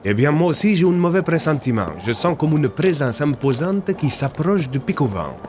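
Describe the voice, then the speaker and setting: A man voicing an excitable, jovial Italian in an audio fiction, theatrical and with an Italian accent. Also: fairly high pitch, moderate pace, noisy background, pitched above the performer's own voice. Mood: ominous.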